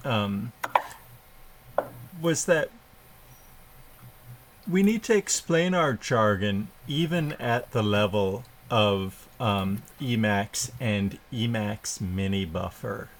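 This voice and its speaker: A man's voice: fairly low pitch, lively intonation, slow pace, long pauses, slight background noise. A man hosting a live show, chatting casually and poking fun at himself as he welcomes guests. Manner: chatty and self-deprecating, with laughs and filler. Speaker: podcast host.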